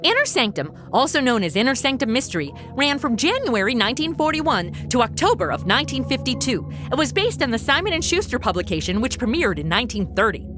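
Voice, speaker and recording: A woman's voice: high-pitched, very animated, fast, hardly any pauses, over music. A woman podcast host reading scripted narration and appeals to listeners in a perky, chatty way.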